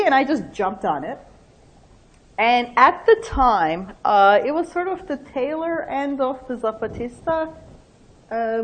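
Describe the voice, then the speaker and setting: Middle-aged woman, slightly high voice, animated academic speaker who thinks out loud. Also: poor audio. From Turkey. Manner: animated, laughing asides, thinking aloud. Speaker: invited book talk speaker. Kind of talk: academic talk with anecdotes.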